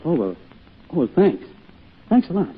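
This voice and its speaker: An American actor playing a young orphaned sailor, earnest, shy and polite, speaking hesitantly in 1940s radio drama style. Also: male voice, a little high, very animated, normal speed, husky, heavily acted. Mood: humble, hopeful. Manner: hesitant, humble, folksy. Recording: tinny, noisy old radio broadcast.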